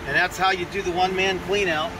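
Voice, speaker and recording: A man's voice: high-pitched, fast talker, very noisy. Chuckling man panning for gold by a river. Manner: relaxed, chuckling, explains as he works. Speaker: gold prospecting vlogger. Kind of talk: casual outdoor narration. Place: riverside gravel bar outdoors.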